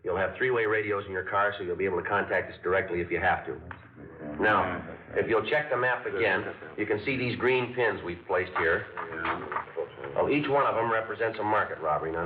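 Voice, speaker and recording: A terse, steady police sergeant, as a man plays him in a vintage radio drama. The voice sits very low, and the delivery stays clipped and businesslike. The sound is tinny and distant, like an old broadcast with music underneath. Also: a little fast, roomy sound, a little hiss or hum, close to an everyday voice. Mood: briefing. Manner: clipped, matter-of-fact delivery. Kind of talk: 1950s radio drama acting with narration.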